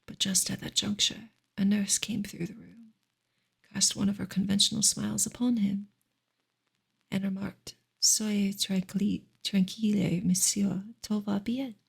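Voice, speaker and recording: Breathy, creaky whispering woman reading softly. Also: medium pitch, flat delivery, a little slow. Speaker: ASMR reader. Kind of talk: soft whispered ASMR reading of a novel.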